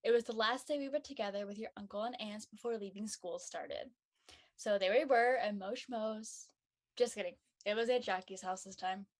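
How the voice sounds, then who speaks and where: A woman's voice: mid-range voice, normal speed, lightly acted. A woman playing a practical, slightly wistful college-bound young woman in casual scripted dialogue.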